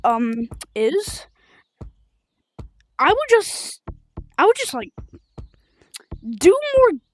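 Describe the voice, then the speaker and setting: A woman with a high voice and very lively swings in pitch, recorded close and dry. She rambles casually and excitably, like a game streamer talking to viewers in informal language. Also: very slow, no room sound. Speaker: livestreamer of a video game. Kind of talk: rambling casual livestream chat while gaming.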